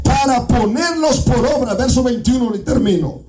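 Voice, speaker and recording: Guatemalan male preacher, high and impassioned, distant mic, music behind. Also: expressive, normal speed. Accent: Guatemalan Spanish. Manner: fervent, often shouting and half-singing his phrases. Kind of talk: evangelical sermon. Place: church.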